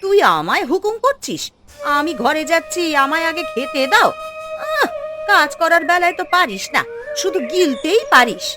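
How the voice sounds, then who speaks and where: A woman voicing a scheming witch posing as a sharp-tongued, scolding village housewife in a fairy-tale cartoon. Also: extremely high pitch, very animated, normal speed, over music.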